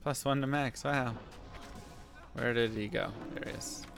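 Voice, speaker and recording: A man with a deep voice casually talking to chat and muttering to himself while playing a game on a live stream. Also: steady tone, measured pace, gravelly, over music. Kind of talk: casual gameplay commentary.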